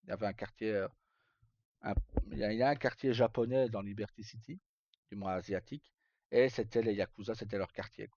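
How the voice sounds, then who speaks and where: A man chatting casually as a livestream host, telling roleplay stories in a halting, rambling way and often repeating words as he goes. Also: lowish voice, fairly even intonation, a little mellow, slight background noise. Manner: halting, rambling, frequent self-repetition. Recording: dull lo-fi mic, dry.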